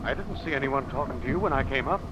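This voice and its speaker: An actor playing a polite, uneasy gentleman passenger in a 1930s radio drama style. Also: mid-range voice, expressive, quick pace, lo-fi sound, natural voice. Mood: uneasy, urgent. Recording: very noisy old radio recording.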